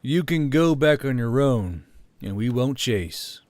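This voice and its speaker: Give me a man playing a battlefield commander in a fantasy audiobook, stern yet merciful, in a close, dry recording with a medium-pitched voice. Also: lively intonation, slow, a little mellow, dry recording. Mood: stern, measured. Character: commanding, stern but merciful male war leader. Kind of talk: audiobook character acting.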